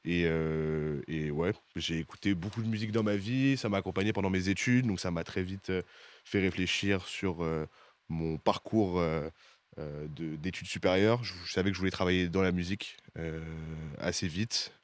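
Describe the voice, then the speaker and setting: A man's voice: deep voice, normal speed, no room sound. A man in his twenties, a podcast guest, talking casually and hesitantly with many fillers. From France. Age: 20s.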